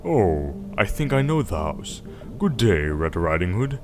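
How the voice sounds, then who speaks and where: A man with a low voice voicing a cruel, greedy wolf who speaks gently and sweetly to lure a child, in a bedtime story with music behind. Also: very animated. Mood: sly. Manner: soft, wheedling, deliberately gentle.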